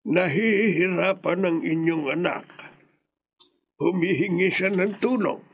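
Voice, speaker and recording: An actor playing a mysterious old male stranger in a radio horror drama, speaking gravely and solemnly with a husky voice. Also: high-pitched, unhurried, exaggerated voice. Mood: ominous.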